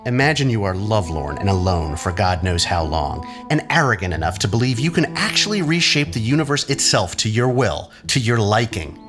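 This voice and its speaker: A low-voiced, menacing man of science played by a male actor in an audio drama. His delivery swings widely, sardonic and taunting, as he probes with rhetorical questions. Music plays under him. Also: sing-song, brisk, few pauses. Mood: probing, goading.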